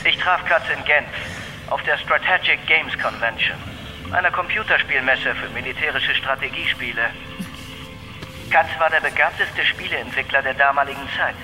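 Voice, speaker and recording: An actor playing a grave informant giving a measured, deliberate monologue on a dictaphone tape in a German radio crime drama. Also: male voice, mid-range voice, pushed and bright, faint music behind, poor audio. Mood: grave, accusing. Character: grave male informant leaving a posthumous taped confession. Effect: dictaphone tape recording.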